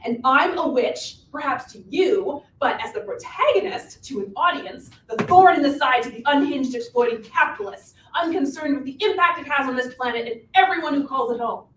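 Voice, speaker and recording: A woman acting a bossy, scheming, animated witch who insists on steering the story, in a live reading of a comic stage play. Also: high-pitched, normal speed, few pauses. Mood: brainstorming. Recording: distant, echoey room recording with hiss.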